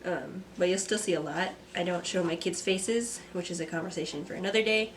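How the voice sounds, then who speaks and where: A young woman, a mother and artist, talking to camera from a script in a soft, reflective vlog; she sounds stuffy and congested. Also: on the low side, a little fast, a little hiss or hum. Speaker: vlogger, artist. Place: home. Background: kids playing.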